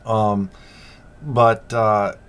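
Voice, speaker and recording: A man's voice: fairly low pitch, flat delivery, very slow, a bit bright, clean tone. Man talking freight with a sore throat. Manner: conversational, many fillers, reassuring asides. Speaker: freight business channel host. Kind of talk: casual talk to camera.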